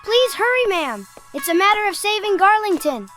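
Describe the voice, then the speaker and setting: Plucky cartoon kid leader woman actor, very high register, earnest and urgent, music playing behind. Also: big pitch swings, moderate pace, a bit bright, heavily acted. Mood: urgent, polite. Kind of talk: fan comic dub voice acting.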